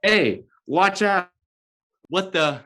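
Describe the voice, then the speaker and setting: A man's voice: high-pitched, expressive, extremely slow, bright, forceful tone, exaggerated voice. A young man playing a brash, teasing, irreverent young hero in a script table read. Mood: annoyed.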